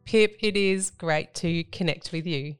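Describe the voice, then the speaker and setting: A woman's voice: lowish voice, measured pace, soft background music. A woman hosting a podcast, reading a prepared introduction and then asking her guest questions. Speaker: podcast host.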